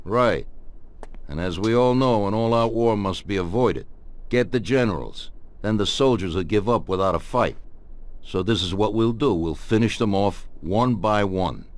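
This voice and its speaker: A man acting a commanding mafia don in a video game, speaking deliberately and with cold authority. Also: lowish voice, natural voice. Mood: cold, resolute. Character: measured, commanding mafia don plotting coldly.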